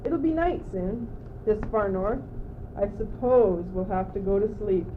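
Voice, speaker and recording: A woman's voice: medium pitch, lively intonation, measured pace, lightly acted. A teenage girl playing a naive, anxious courtier who follows along in an amateur radio play, hesitant and plaintive. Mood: idle. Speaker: student actor. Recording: dull, noisy old amateur recording.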